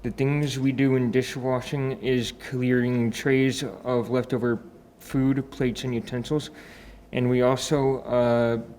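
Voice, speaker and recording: An eighteen-year-old man introducing himself as an intern, carefully listing his tasks with uh pauses. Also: medium pitch, level tone, a little mellow, a little hiss or hum. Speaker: program intern. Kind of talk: prepared self-introduction.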